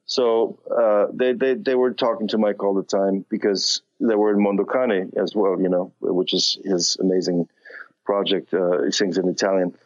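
A man's voice: low voice, steady tone, normal speed, highs cut off. A man with a light non-native accent, talking as a musician guest in a casual interview, rambling with many restarts and fillers.

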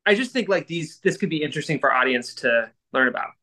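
A man's voice: fairly high pitch, expressive, fast talker, sharp tone. A man chatting casually and asking questions as a podcast co-host. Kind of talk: casual interview chat.

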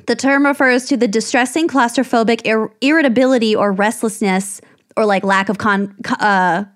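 Casting note: woman podcast co-host, slightly higher voice, giggly, rambles between notes. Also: steady tone, moderate pace. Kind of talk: casual chat.

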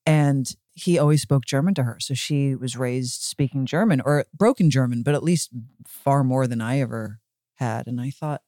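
A woman's voice: extremely low pitch, normal speed, dark tone, no room sound, studio quality. A woman hosting a podcast in casual conversation, musing and given to anecdotes. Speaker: podcast host. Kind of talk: casual chat.

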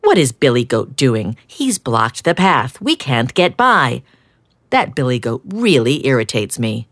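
A woman's voice: rumbling low, sing-song, normal speed, a bit bright, no echo, studio quality, full character voice. Woman doing a grumbling, grumpy male duck. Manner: grumbling, indignant. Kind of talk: storybook reading.

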